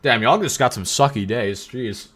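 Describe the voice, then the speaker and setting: A man's voice: mid-range voice, fairly bright tone. A young man hosting a casual live stream, joking with his chat and teasing his co-host. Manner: joking, teasing, quick banter with frequent laughs. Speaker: livestream host.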